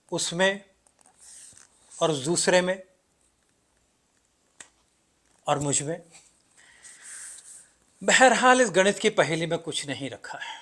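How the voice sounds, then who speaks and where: A male actor performs an enigmatic everyman narrator of a stage play, a man unsure of who he is. His voice sits a little high for a man. He addresses the audience in a theatrical monologue, with deliberate pauses and emphatic swings. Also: a bit bright, full character voice. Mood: searching, intense, then resigned, philosophical.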